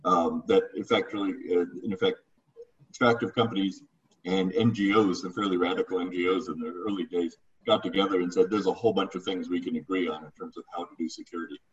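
Fast-talking low man, dull distant audio. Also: steady tone, husky. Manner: explanatory, eager to add points. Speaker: panel expert. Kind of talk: webinar panel discussion.

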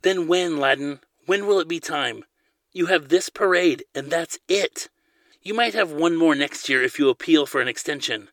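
A young woman from an audiobook, coaxing and caring, played by a man. She speaks in a raised pitch with a playful but insistent manner. The recording is very dry. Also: a little fast, a bit bright, no room sound, lightly acted. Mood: coaxing, firm. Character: playful, caring, firm young woman, prince's trusted friend. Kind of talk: audiobook character dialogue.

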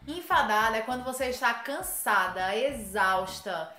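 A woman chats eagerly as a guest, with a slightly high voice. The room is echoey, with faint music behind her. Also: unhurried. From Northeast Brazil. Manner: eager, lively explainer. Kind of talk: casual chat.

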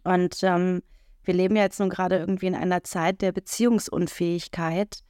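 A woman's voice: on the low side, flat delivery, normal speed, darkish tone, smooth, dry recording. Frank chatty woman, dry close sound. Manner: frank, chatty, many fillers and laughs. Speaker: podcast co-host. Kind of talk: casual podcast conversation.

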